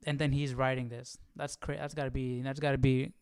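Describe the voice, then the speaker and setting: A man's voice: medium pitch, little pitch movement, a little fast, darkish tone, smooth, no room sound, clean studio sound. A man hosting a casual Bible study livestream, reading and thinking aloud in an informal, questioning way. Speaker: livestream host.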